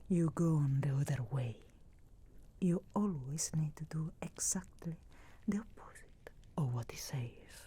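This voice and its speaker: A woman voicing a sharp-tongued, sarcastic but reluctantly caring witch in a slow, breathy, whispered ASMR fantasy roleplay. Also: low-pitched, full character voice. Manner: slow, deliberate, dry sarcastic teasing.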